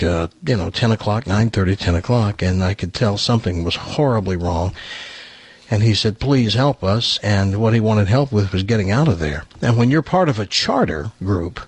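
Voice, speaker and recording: A man's voice: fairly low pitch, husky, dry recording. A man hosting a radio show, chatting casually in a joking, rambling way. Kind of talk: casual talk show chat.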